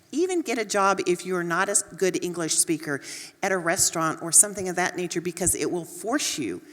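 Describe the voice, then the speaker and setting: A woman with a Texas accent, a nursing dean speaking on a panel in an earnest, encouraging, conversational way. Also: fairly low pitch. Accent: Texan. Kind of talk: panel talk. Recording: long hall reverb.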